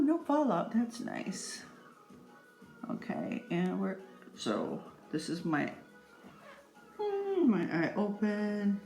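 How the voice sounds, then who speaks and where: A middle-aged woman giving a casual, chatty makeup tutorial, playful and rambling, speaking straight to her viewers. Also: low-pitched. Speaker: makeup vlogger. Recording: echoey room with faint music.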